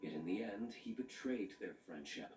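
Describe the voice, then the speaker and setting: A man's voice: lowish voice, animated, moderate pace, darkish tone, roomy sound, faint music behind, lightly acted. An actor playing a brooding, composed nobleman in a video game, speaking formally and coolly. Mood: cool, grave. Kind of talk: dramatic video game voice acting.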